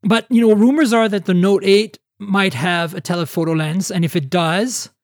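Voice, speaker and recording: A man's voice: high voice, fairly even intonation, moderate pace, no echo, studio quality. A man hosting a casual tech podcast, chatting in an opinionated, emphatic way. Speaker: podcast host.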